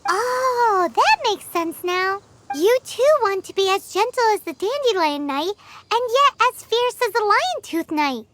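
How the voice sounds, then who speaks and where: A small childlike fairy companion from a video game. The voice is squeaky and very high, with animated intonation. It sounds earnest and caring, over faint noise. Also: female voice, a little slow, full character voice. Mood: curious, concerned. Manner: earnest, speaks of self in third person. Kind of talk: video game character acting.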